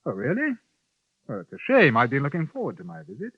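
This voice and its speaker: An actor playing a polite, dryly understated English gentleman reporter in a 1950s radio drama, with an English accent. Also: mid-range voice, wildly swooping pitch, fast, husky, exaggerated voice. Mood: wry. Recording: dull lo-fi 1950s radio recording with music.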